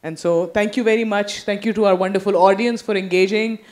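A woman moderating a panel discussion, giving brisk prompts and thanks into a handheld microphone on a conference stage. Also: medium pitch, steady tone, a little mellow.

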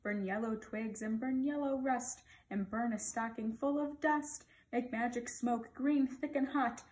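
A woman voicing sinister storybook magicians chanting a spell in rhythmic, drawn-out incantation. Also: on the high side, fairly even intonation, a little mellow, close to an everyday voice. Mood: gleeful incantation.

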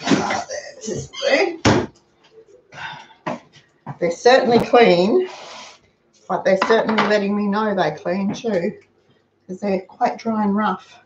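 A woman's voice: mid-range voice, slow pace, long pauses, noisy background. Older Australian woman giving kitchen tips. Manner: chatty, practical, thrifty tips. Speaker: host of a frugal cooking channel. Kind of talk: casual cooking demonstration. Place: home kitchen, indoors. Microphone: fixed camera across the counter. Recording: roomy, dull lo-fi sound.

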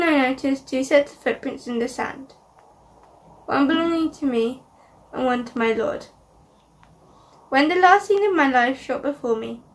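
A woman's voice: high-pitched, distant mic. A teenage girl reciting a poem steadily into a webcam in a bedroom at home. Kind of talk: reading a poem.

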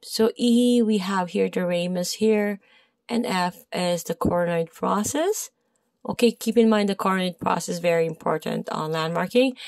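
A woman's voice: medium pitch, creaky, a little mellow, no room sound. Filipino woman tutoring, dry close sound. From Philippines. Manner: hesitant, many fillers and self-corrections. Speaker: massage therapy tutor. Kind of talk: casual study walkthrough of a textbook page. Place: indoors at a desk. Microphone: phone camera overhead, speaker off screen.

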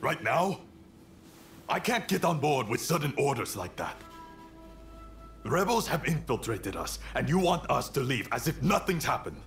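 An actor playing a boisterous, outspoken, hot-headed samurai officer in a video game. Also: male voice, medium pitch, sing-song, moderate pace, fairly bright tone, soft background music. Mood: shocked, indignant. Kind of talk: acted video game cutscene dialogue.

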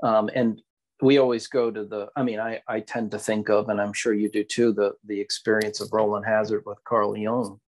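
A man co-hosting a podcast in casual, reflective discussion, halting as he searches for words. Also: on the low side, level tone, poor audio. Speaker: podcast co-host.

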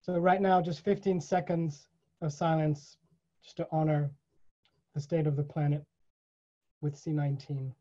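Older guy hosting an online event, lowish-key mellow voice, slow careful phrasing w pauses, muffled video call sound. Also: flat delivery. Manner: measured, pausing, repeats phrases deliberately. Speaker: event host. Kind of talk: welcoming remarks with quotations at an online event. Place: small indoor room. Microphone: computer mic on a video call. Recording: dull, lo-fi video call audio.

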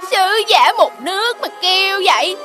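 A cheeky little beggar child from a fairy-tale cartoon. The voice is tiny and very high, bouncing in pitch as it teases and taunts, with loud music behind. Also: female voice, lively intonation, moderate pace. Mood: cheeky, mocking. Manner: teasing, bratty. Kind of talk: animated fairy-tale voice acting.

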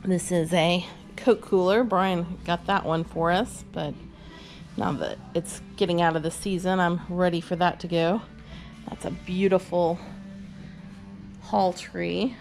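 A woman's voice: on the low side, fairly even intonation, measured pace, a little mellow, faint music behind. A woman giving a chatty, upbeat walk-through of her booths in a large indoor antique mall, recorded on the camera she carries. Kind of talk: walk-through tour vlog.

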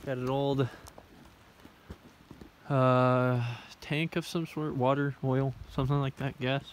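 A man's voice: medium pitch, flat delivery, slow pace. Casual young man vlogging outdoors, noisy audio. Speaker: hiking vlogger. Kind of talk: casual rambling vlog commentary while walking. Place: outdoors on a forest railroad trail. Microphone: phone camera at arm's length. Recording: noisy outdoor handheld recording.

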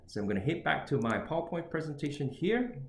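Man, middle-aged, animated intonation, webcam talk, echoey room. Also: on the high side, fast talker, a little hiss or hum. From Singapore. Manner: repetitive tag questions, emphatic. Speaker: international student advisor. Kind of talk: webinar presentation on choosing universities. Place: indoors with a virtual background.

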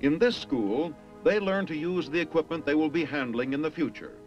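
American man, higher-pitched voice, 1950s military film narration, formal and emphatic, dull vintage soundtrack. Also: animated, faint music behind. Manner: formal, emphatic, measured phrasing.

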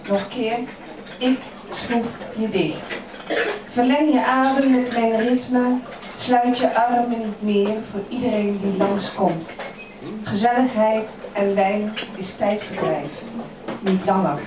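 Slow-reading woman poet in a café. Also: on the high side, distant mic, background noise. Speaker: poet reading her poems. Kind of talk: poetry reading. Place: crowded old café, indoors. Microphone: stand mic, filmed from across the room. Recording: echoey café filmed from afar, loud chatter.

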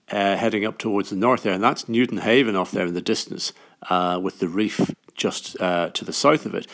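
Gravelly low-voiced man narrating sailing tips. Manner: relaxed, hesitant with many ums and uhs. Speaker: sailing vlogger. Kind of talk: casual voice-over narration. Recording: voice-over with music behind.

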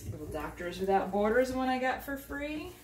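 A woman's voice: fairly high pitch, sing-song, moderate pace, far from the mic, background noise. A woman in her thirties giving a casual, upbeat home organizing demonstration, moving around as she talks. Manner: chatty and upbeat, moving around while handling bags. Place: home entryway with hardwood floor, indoors. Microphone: camera mic at a distance. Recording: echoey room, voice from off the mic.

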